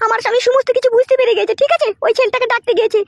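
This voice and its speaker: Squeaky high cartoon wife, super fast, pushy n nagging, shrill. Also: female voice, fairly even intonation, no echo, clean studio sound. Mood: pleading, insistent. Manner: nagging, foul-mouthed, insistent. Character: scolding, pushy village wife with a lover, shrill-voiced. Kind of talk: comic cartoon dialogue.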